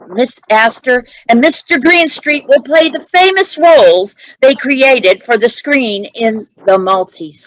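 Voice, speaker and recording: A woman's voice: on the high side, no room sound, low-quality recording. A woman acting as a formal, ceremonious announcer reading a scripted 1940s radio drama introduction.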